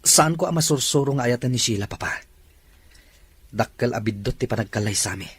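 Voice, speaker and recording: An actor playing a troubled husband who speaks in a subdued, brooding way. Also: male voice, medium pitch, animated, a little fast, breathy edge. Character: troubled husband, cold to his wife.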